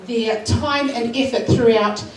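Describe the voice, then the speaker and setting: A woman's voice: a little high, steady tone, unhurried. A woman with a New Zealand accent, addressing a school assembly and giving emotional thanks with frequent fillers. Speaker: school culture week organiser. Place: school hall. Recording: echoey hall, far from mic. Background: hall ambience and noise.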